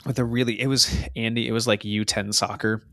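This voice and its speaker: A man's voice: lowish voice, level tone, brisk, a bit bright, dry recording, clean studio sound. A man co-hosting a casual soccer podcast, chatting through match recaps in a rambling, easygoing way. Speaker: podcast co-host.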